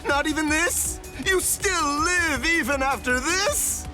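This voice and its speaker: A man's voice: very high-pitched, slow, over music. An actor playing a theatrical, effusive mad scientist in an anime game, a man both gloating and obsequious. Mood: manic glee. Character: Manic, gloating mad scientist man, fawning to his master. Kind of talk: anime video game voice acting.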